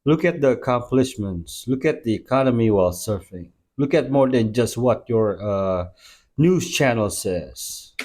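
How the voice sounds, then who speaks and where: A man's voice: mid-range voice, lively intonation, measured pace, warm tone. Man with dry, sarcastic commentary.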